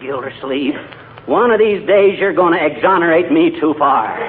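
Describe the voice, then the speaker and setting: An actor playing a boastful, folksy, quick-tempered husband who spins tall tales in a 1940s radio comedy. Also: male voice, fairly high pitch, very noisy, lightly acted. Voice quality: boastful, fast-talking, folksy. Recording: tinny old radio broadcast, faint audience.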